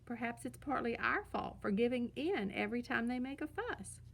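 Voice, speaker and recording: A woman voicing a calm, reasonable mother bear in a children's storybook, explaining things in a measured way. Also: a little high, creaky, exaggerated voice. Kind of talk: children's storybook read-aloud.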